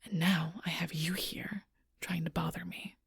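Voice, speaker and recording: A woman playing a fierce, protective alpha werewolf in an audio roleplay, bitter and confrontational, with a breathy, rough-edged voice. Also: fairly low pitch, little pitch movement, measured pace, no echo, clean studio sound, full character voice. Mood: bitter, irritated. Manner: intense, confrontational, swearing, often dropping to near-whisper. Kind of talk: audio roleplay acting addressed to the listener.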